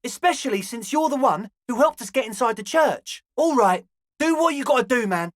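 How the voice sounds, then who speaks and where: An actor playing an excitable, friendly young male rave promoter in a video game, with an earnest, gushing, slangy way of talking. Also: very high-pitched, normal speed, dry recording, studio quality. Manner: earnest, gushing, slangy club-kid talk. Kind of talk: video game character dialogue.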